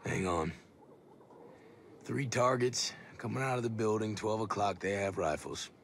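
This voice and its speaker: A man playing a tough, confident American special forces soldier in a video game, talking casually with measured military pauses. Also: fairly low pitch, creaky, fairly bright tone, a little hiss or hum. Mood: gruff, laid-back. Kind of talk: video game cutscene acting.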